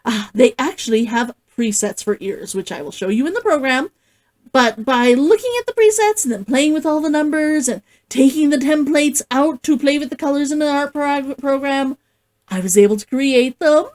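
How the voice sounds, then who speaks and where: A woman with an expressive way of talking, hosting a casual read-along as an author. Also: sits high, moderate pace. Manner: giggly, gushing, expressive. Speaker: host, author reading along. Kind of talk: casual livestream chat and novel read-aloud.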